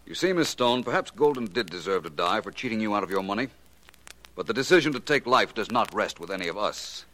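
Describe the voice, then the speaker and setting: A self-assured detective in a vintage 1940s radio mystery. The voice is low and bright, swinging expressively through its phrases. He is courteous, deliberate and quietly commanding. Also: lively intonation. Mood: probing, assured. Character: suave, self-assured male private detective laying traps.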